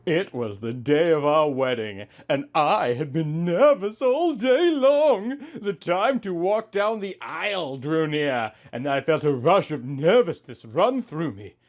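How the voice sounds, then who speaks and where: A man's voice: on the high side, sing-song, unhurried, hardly any pauses. A young man reading a story aloud in a theatrical, mock-serious way with exaggerated emphasis. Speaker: host reading amateur fan fiction.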